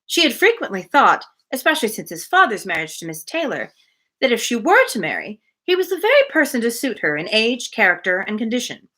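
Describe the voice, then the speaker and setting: A woman reads a classic novel aloud with a bright, crisp edge to her voice. Her pitch moves in a lively, expressive way, and she drops easily into informal, chatty commentary as host of the reading. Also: fairly quick. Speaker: host of a live book reading.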